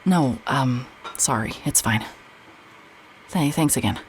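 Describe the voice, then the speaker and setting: An actress playing a weary, evasive woman in an audio drama, speaking haltingly and apologetically. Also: extremely low pitch, expressive, slight background noise, exaggerated voice. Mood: awkward, uneasy. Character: weary, evasive woman, a traveller staying at a motel. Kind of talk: naturalistic audio drama acting.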